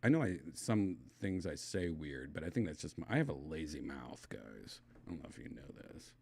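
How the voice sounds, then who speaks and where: A middle-aged man from Wisconsin with a slight lisp, chatting casually and reading his stream chat, loose and mumbling, trailing off into quiet asides. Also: extremely low pitch, sing-song, moderate pace, gravelly, a little hiss or hum. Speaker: livestream host reading chat.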